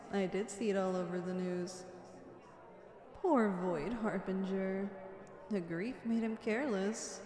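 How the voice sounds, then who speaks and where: A woman's voice: mid-range voice, darkish tone, natural voice. A woman playing a flirtatious, teasing supervillain with a streak of righteous anger, in a scripted ASMR roleplay. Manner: playful, teasing, theatrical. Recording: echoey hall-like reverb.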